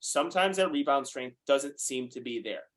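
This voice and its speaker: A man in his late twenties talking powerlifting on a podcast, quick and animated, cursing casually. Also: mid-range voice. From United States. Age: 20s. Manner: fast, animated, swears freely. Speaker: guest powerlifter.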